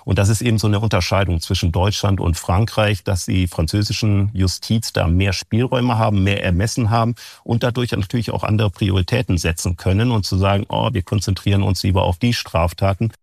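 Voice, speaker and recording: Low, creaky male academic expert. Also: steady tone, a little fast, few pauses, darkish tone, no echo, clean studio sound. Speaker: police university academic, expert interviewee. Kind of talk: expert explanation.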